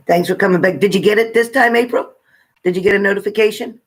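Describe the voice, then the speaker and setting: A man's voice: high voice, fast, a bit bright, husky. Man hosting a casual livestream. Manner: informal, addresses viewers by name. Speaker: livestream host. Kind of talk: casual live chat with viewers.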